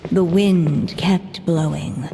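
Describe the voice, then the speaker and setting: A woman narrating a fairy-tale story in a gentle, hushed storybook manner. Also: deep voice, fairly even intonation, slow, gravelly, slight background noise, full character voice. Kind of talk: storybook narration in a game cutscene. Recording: long reverb with soft music.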